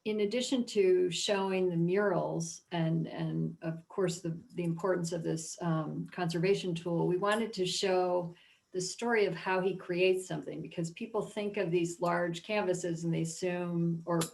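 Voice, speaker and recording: Female museum curator, slightly low and noticeably creaky, informal explanatory delivery. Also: steady tone, measured pace, darkish tone. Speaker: art museum curator, guest speaker.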